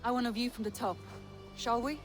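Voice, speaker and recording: An actress playing a playful, adventurous Norse woman in a video game, teasing, eager and expressive. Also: fairly high pitch, brisk, soft background music, lightly acted. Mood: wistful wonder. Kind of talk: video game dramatic acting.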